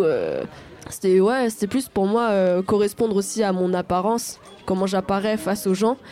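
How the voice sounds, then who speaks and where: A woman's voice: medium pitch, dark tone. A young woman from France, a singer-songwriter answering radio interview questions, hesitant with many fillers and restarts.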